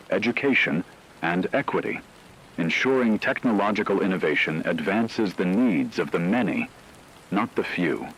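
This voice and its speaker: Deep-voiced man reading news over noise. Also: a bit bright, rough recording. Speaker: radio news co-anchor. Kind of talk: scripted news narration.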